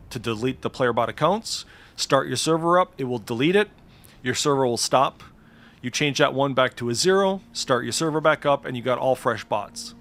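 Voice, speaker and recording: A man's voice: medium pitch, a bit bright. A man giving casual, informal screen-share commentary as a game streamer, swearing freely. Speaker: streamer demonstrating game add-ons.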